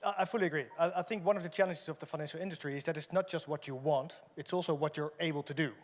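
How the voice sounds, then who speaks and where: A man co-hosting a conversation on a conference stage, animated, tossing questions to the audience and often tagging on "right?". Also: on the high side, racing. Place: large conference hall stage. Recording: long reverb of a large hall.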